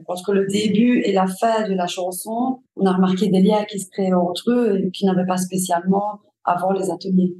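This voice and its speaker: Female care worker interviewee, mid-pitched conversational delivery, echoey room sound. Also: mellow.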